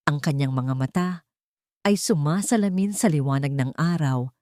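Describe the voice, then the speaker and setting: Woman, lowish pitch, dry studio-like sound, scripted romance narration, sentimental storyteller, measured delivery. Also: darkish tone, no room sound.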